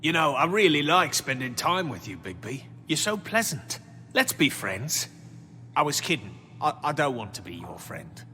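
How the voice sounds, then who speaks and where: Mouthy game thug, sarcastic, forceful edge, big reverb with music. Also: male voice, on the high side, big pitch swings, moderate pace. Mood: mocking. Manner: sarcastic, taunting, foul-mouthed. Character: cocky, mouthy male thug being interrogated. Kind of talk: video game voice acting. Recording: long reverb, music underneath.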